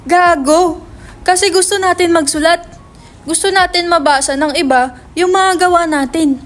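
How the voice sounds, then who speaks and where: A woman's voice: very high-pitched, normal speed, faint music behind. A woman playing a brash, foul-mouthed young male writer in a dramatic script reading, slangy and insistent. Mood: angry, insistent.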